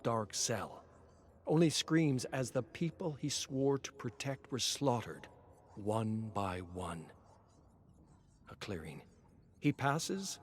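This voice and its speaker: Slow, theatrical male story narrator. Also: mid-range voice, a little hiss or hum. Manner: theatrical, deliberate, swinging between hushed and intense. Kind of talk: dramatic scripted story narration.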